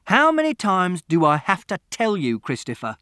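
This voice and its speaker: A man voicing an angry, short-tempered middle-aged father in a storybook reading who barks his commands loudly. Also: on the high side, a little slow, clean tone, dry recording, clean studio sound. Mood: exasperated. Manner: loud, barking commands.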